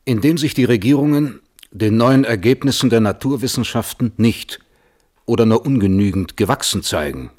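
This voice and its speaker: A man acting as a thoughtful, scrupulous scientist in a German radio play, testifying in a measured, carefully worded way. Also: medium pitch, expressive, a little mellow, lightly acted. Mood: reflective. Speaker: witness under questioning.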